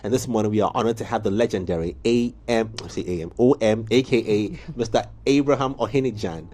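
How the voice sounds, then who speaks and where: A man hosting a morning studio interview, telling stories in a lively way with laughs. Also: fairly low pitch, fast, slight background noise. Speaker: morning show host.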